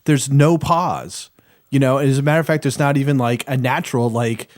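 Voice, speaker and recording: A man on a podcast panel giving a casual opinion, halting as he searches for words. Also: medium pitch, no room sound, clean studio sound. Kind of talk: casual review discussion.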